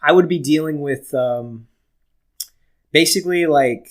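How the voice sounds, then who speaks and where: A man's voice: on the high side, measured pace. A man chatting casually as a podcast co-host, telling stories in a profane, self-mocking way. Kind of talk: casual chat, storytelling.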